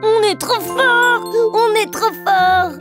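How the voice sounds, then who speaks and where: Little boy bear cub, super high kiddie voice, slow simple chatty cartoon talk, music behind. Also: steady tone. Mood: excited. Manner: simple, step-by-step childlike explaining. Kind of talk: children's cartoon, instructive nursery narration. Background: children's music.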